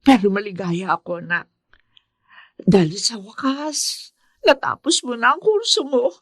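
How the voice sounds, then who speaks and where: An actress playing a loving, apologetic middle-aged mother of a poor family in a radio drama, with a husky voice. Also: fairly high pitch, lively intonation, unhurried. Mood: proud, tender.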